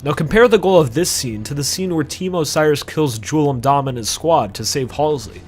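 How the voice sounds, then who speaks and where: A man's voice: a little high, normal speed, faint music behind. A man narrating a scripted video essay about games in an emphatic, conversational way with sarcastic asides.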